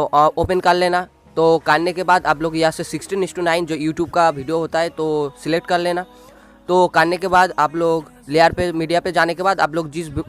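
Higher-pitched young man explaining a how-to. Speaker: tutorial host. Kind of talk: casual screen-recorded how-to narration.

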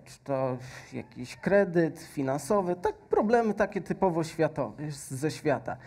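Man, thirties, stage science presenter, unhurried, soft dark tone, filler-heavy explaining. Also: on the high side. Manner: slow, patient explaining with frequent fillers. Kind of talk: stage science demo with a faith message. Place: large stage with audience hall. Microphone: headset.

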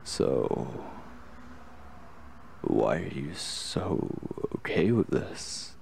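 Very deep, gravelly, tender kidnapper played by a man. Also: big pitch swings, slight background noise, heavily acted. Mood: gentle, curious. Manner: hesitant, halting, gently reassuring. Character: obsessive young male kidnapper, tender, anxious and protective. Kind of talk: intimate ASMR roleplay.

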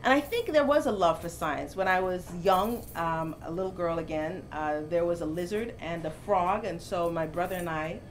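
A middle-aged woman born in Jamaica, a professor answering interview questions in a lively, storytelling way. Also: on the low side, moderate pace, few pauses, a little hiss or hum. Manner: animated storytelling, gets louder and laughs when amused. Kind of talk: conversational interview. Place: bookstore, seated in armchair indoors.

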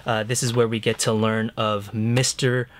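A man's voice: fairly low pitch, flat delivery, normal speed, a little hiss or hum. A man in his thirties chatting enthusiastically and casually through a top-five list as a review show co-host. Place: indoor room lined with shelves of books and discs.

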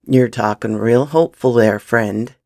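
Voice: mid-range voice, unhurried, warm tone, no echo, clean studio sound, natural voice. A reader voicing a threatening, sardonic, hard-drinking male gunslinger in a western audiobook. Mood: irritated menacing.

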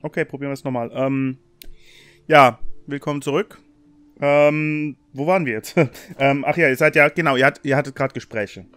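A man narrating a tabletop game as game master in an informal, halting way. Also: medium pitch, fairly even intonation, fairly bright tone, clear, resonant tone, no room sound. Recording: online voice call, background music.

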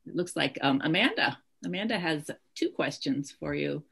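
A woman's voice: sits low, expressive, normal speed, vocal fry, a bit bright, slightly rough. A woman in her sixties casually moderating a question session on a video call from home. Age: 60s. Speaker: host, moderator. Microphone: video call computer mic.

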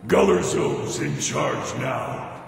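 A man's voice: on the low side, sing-song, slow pace, gravelly, full character voice. A man playing a stern, commanding god in a fantasy video game, speaking imperiously and scornfully in a reverberant hall. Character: stern, commanding daedric god passing judgement. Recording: echoing hall reverb, faint music.

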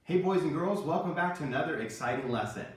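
A man's voice: a little high, lively intonation, fast. Man hosting in a very echoey kitchen. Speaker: host of a children's cooking show. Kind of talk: scripted instructional presenting with puppet banter. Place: home kitchen. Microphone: camera a few meters away. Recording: very echoey room, distant microphone.

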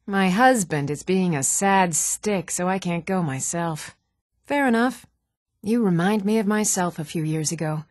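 A woman's voice: on the low side, no room sound, studio quality, natural voice. A woman playing a seasoned, plucky former adventurer in a fantasy video game, frank and eager.

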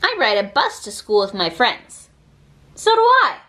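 A woman with a fairly high voice reads a children's picture book aloud. Her pitch swings in a very lively way, and she phrases each short line deliberately, leaving pauses between them. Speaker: read-aloud reader.

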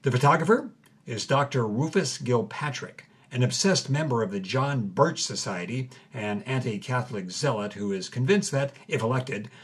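A man's voice: medium pitch, breathy edge. A man co-hosting and narrating a story, reading old headlines aloud with wry relish. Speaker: co-host narrator. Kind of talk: scripted narration with chatty asides.

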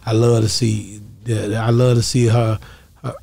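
A man, hosting a casual interview in slangy, halting, repeated phrases. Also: on the low side, level tone, gravelly, warm tone, no room sound. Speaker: podcast host. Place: small podcast studio. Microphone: close studio microphone on arm.

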